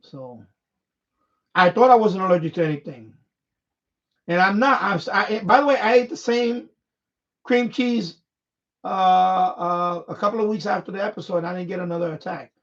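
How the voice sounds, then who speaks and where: Male streamer, high voice, unscripted and repetitive halting delivery, slightly muffled low-fidelity audio. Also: a bit bright. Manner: halting, repeats words and phrases often. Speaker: live stream host. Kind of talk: casual unscripted live-stream chat. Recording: dull, lo-fi microphone sound.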